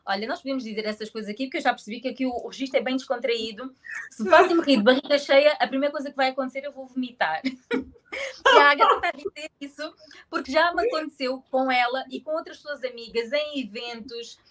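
A woman's voice: sits high, fast talker, a bit bright. A woman in her forties from Angola who grew up in Portugal, chatting casually and playfully as an interview guest. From born in Angola, grew up in Portugal. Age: 40s. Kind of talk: casual chat.

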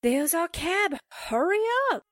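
A woman's voice: sits high, animated, unhurried, a bit bright, dry recording, clean studio sound. A woman playing a jittery, impatient young sidekick in a 1940s-style radio drama, full of folksy exasperation. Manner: folksy exclamations, exasperated nagging.

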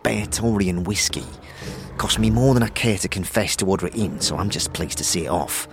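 An actor playing a worldly, mischievous barkeep in a fantasy audio drama, casual and conspiratorial. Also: male voice, low-pitched, a little fast, noisy background. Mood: confiding. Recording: music bed behind the voice.

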